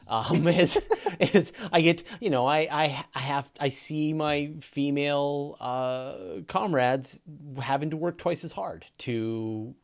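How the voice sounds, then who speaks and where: A man's voice: medium pitch, clean tone. A man speaking casually as the host of a chat and interview show.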